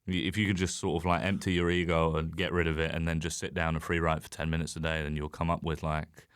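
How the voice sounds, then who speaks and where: A man's voice: a bass voice, level tone, fast talker, a bit bright, smooth, no room sound, studio quality. A man chatting casually as a comedian guest on a podcast, rambling, self-deprecating and sweary. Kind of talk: casual chat.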